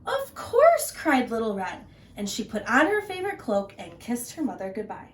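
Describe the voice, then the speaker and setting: A woman teacher reading a children's story aloud in an animated narrating style. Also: medium pitch, normal speed, recorded from a distance.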